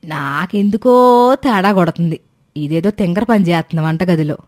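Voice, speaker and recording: A woman voicing an exasperated, sarcastic older mother-in-law in an animated story, scolding with dry mockery. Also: lowish voice, normal speed, natural voice. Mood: dryly mocking. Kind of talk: Telugu animated moral story dialogue.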